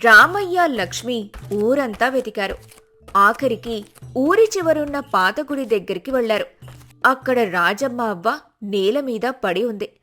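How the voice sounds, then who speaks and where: A woman storyteller with a fairly high voice reads a scripted moral tale. Music plays behind her narration. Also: animated, a little slow, pushed and bright.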